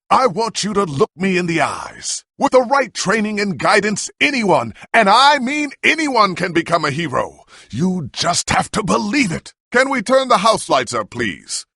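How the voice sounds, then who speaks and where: Bright, grandiose warrior hero. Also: male voice, a little high, creaky, no room sound, clean studio sound, full character voice. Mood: proud, earnest. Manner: pompous, theatrical, commanding oratory. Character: proud, grandiose warrior hero, an adult man. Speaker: video game voice actor. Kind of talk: dramatic game dialogue and heroic speeches.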